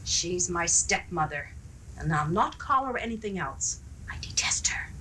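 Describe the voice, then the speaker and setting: Woman playing a sharp, bitter grown daughter. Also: fairly low pitch, lively intonation, unhurried. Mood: resentful. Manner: sharp, nagging. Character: bitter, resentful grown daughter, hard and vengeful. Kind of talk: 1970s community radio drama acting. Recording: noisy 1970s live radio drama recording.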